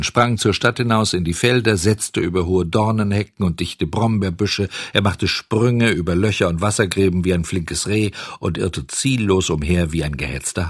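A man narrating a children's audiobook in an expressive, dramatic way, speaking directly to young listeners. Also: sits low, slightly rough, dry recording, clean studio sound.

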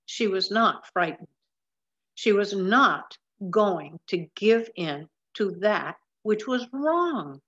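A woman in her seventies reading a picture book aloud in a deliberate way, with emphatic pauses. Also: medium pitch, expressive, fairly bright tone, lo-fi sound. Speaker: storybook reader. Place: large room at home with wooden ceiling, indoors.

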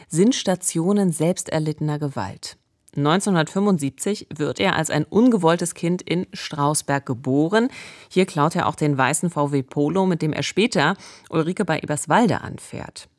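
A woman's voice: sits low, no echo. A woman in her forties narrating true crime as a podcast host, speaking conversationally into a close studio microphone. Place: radio studio. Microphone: close studio microphone with pop filter.

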